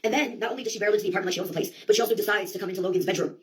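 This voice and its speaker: Fast-talking, theatrical woman storyteller. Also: mid-range voice, slight background noise. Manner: sweary, theatrical, slangy delivery with quoted outbursts. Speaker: monologuist. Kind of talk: rambling comic storytelling monologue.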